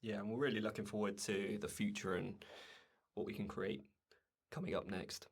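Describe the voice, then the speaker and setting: A man with a husky, creaky voice and a flat melody reflects on creative work as a game developer. He speaks conversationally, feeling for his words as he gives director's commentary. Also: lowish voice, normal speed.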